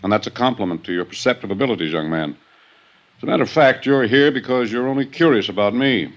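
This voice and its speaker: An actor playing a self-assured, insistent older American business executive in a 1950s radio drama, speaking in a formal, emphatic manner. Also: male voice, mid-range voice, fast, close to an everyday voice. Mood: insistent, certain. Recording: dull, band-limited old radio recording.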